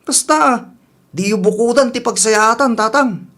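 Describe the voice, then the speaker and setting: A man's voice: extremely high pitch, a little slow, pushed and bright, full character voice. A man playing a brash, impatient grown son in a radio drama, insistent and dismissive, with an Ilocano accent. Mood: nagging. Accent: Filipino (Ilocano).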